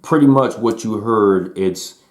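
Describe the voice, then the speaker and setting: A man giving a casual album review, talking in a relaxed, conversational way with slang and fillers. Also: fairly low pitch, a little slow. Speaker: music reviewer.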